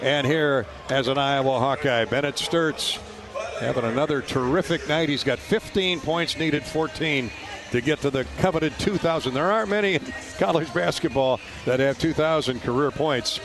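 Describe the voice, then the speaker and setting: A man's voice: fairly high pitch, moderate pace. American man calling live radio basketball. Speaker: radio play-by-play announcer. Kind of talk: live basketball play-by-play commentary. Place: basketball arena.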